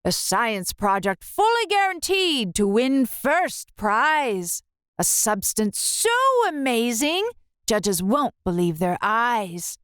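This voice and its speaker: A woman's voice: a little high, big pitch swings, slow pace, a bit bright, clean tone, dry recording, studio quality, exaggerated voice. A woman voicing a grand, salesy advert and warning label in a children's storybook. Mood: hyped.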